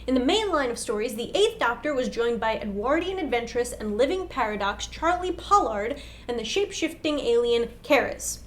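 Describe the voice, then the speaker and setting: High-pitched young woman presenting. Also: animated, normal speed, a bit bright, slight background noise. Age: young adult. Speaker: co-host. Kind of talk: scripted explainer presentation to camera. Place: small indoor room set with whiteboard.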